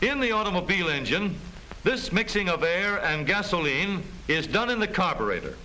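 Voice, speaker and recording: A man with an American accent narrates in the style of a 1930s educational film. His voice sits high and swings animatedly as he explains with formal emphasis. The soundtrack is vintage, with a faint layer of noise. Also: very animated, moderate pace, bright, forceful tone, poor audio.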